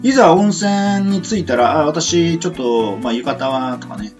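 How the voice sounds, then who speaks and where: A man chatting casually as a radio host, telling things in an animated way and acting out reactions. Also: fairly high pitch, moderate pace. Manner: animated storyteller, acts out imagined reactions. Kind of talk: casual chat. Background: background guitar music.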